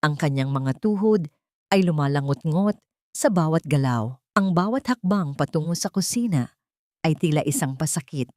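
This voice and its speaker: Narrator for a melodrama: woman, lowish voice, scripted dramatic delivery, very dry recording. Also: lively intonation, moderate pace, a little mellow, no room sound.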